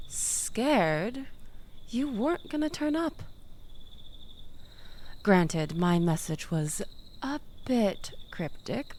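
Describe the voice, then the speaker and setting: Slow, coy, manipulative ex-girlfriend, acted by a woman. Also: medium pitch, slight background noise, exaggerated voice. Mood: coaxing, wistful. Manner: coy, teasing, mock-wounded, drawn-out with breathy dips. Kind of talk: intimate audio roleplay acting addressed to listener.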